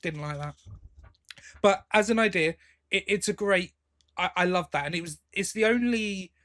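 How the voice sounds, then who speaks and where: A man's voice: high-pitched, normal speed, fairly bright tone. A man co-hosting a podcast in casual chat, giving his opinions informally and bluntly. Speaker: podcast co-host.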